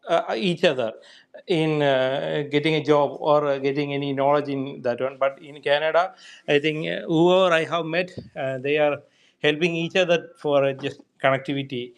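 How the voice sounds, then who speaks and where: Man, smooth clear voice, hesitant, many fillers. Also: a little high. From India. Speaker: meetup participant, job seeker. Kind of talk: self-introduction.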